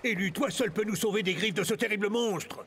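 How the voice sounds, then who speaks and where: A man voicing a bearded Viking follower in a children's cartoon, gravelly, earnest and stammering with over-dramatic alarm, music behind. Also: high voice, a little fast, fairly bright tone, slight background noise. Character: bearded adult male Viking, excitable, easily alarmed follower.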